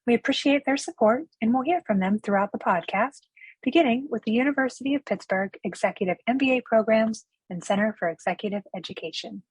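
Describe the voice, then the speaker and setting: A woman, the organization's executive director, reading a scripted podcast introduction in a measured, announcer-like way. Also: a little high, lively intonation, slightly rough, poor audio.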